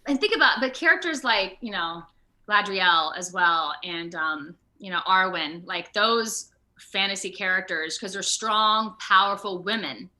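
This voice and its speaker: An American woman talking casually in an interview over a video call, with an animated, rambling, self-deprecating manner. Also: medium pitch, measured pace. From Ohio, United States. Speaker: actor being interviewed.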